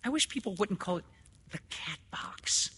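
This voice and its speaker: A man voicing a prim, softly disapproving woman in a storybook reading, hesitant and delicate. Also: high-pitched, animated, moderate pace, breathy edge, in a whisper, voice pushed up, heavily acted. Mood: uneasy objection.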